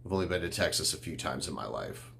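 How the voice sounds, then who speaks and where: A man's voice: very deep voice, animated, fast, creaky, a bit bright, a little hiss or hum. A man from the northern United States, a podcast host rambling casually with lots of fillers. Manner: rambling, filler-heavy, offhand. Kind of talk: casual chat answering chat.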